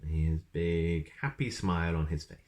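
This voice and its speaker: A man reading a children's story aloud in an expressive way, with explanatory asides to young viewers. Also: sits low, normal speed. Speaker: storybook reader.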